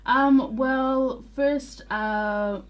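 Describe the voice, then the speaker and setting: A young woman from Zimbabwe, a radio guest, telling her story in a warm, thoughtful way with pauses and fillers. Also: sits high, steady tone, muffled recording. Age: young adult. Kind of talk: interview answers.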